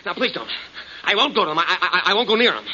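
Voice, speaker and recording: A man's voice: sits high, very animated, fast talker, bright, forceful tone, exaggerated voice. A man playing a frightened, prissy suspect, pleading and stammering in a 1940s radio drama. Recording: muffled, noisy 1940s radio recording.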